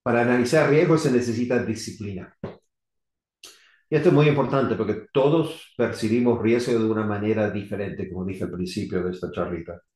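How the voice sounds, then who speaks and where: Argentine-born engineer guy, kinda low voice, stops and restarts a lot, roomy echo. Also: a little mellow. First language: Spanish, now rusty from living in the US. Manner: halting, many restarts and fillers. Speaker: electrical safety engineer presenting. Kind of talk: technical talk, unscripted. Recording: echoey room.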